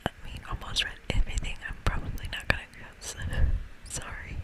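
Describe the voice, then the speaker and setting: A host whispering softly and chattily through an ASMR video game playthrough, speaking without hurry. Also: moderate pace.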